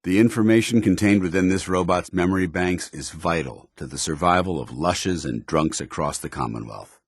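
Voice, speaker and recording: An actor playing a stern, principled military paladin in a video game, speaking in a formal, earnest, commanding soldierly way. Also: male voice, low voice, moderate pace, slightly rough, dry recording, studio quality. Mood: watchful, businesslike. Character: stern, principled male military paladin, loyal to his order. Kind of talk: video game companion dialogue.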